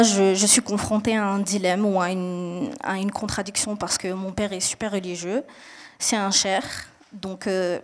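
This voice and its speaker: A young woman from Mali and Niger, raised in Saudi Arabia, answering a panel question in an earnest, explanatory way with frequent hesitations and restarts. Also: medium pitch.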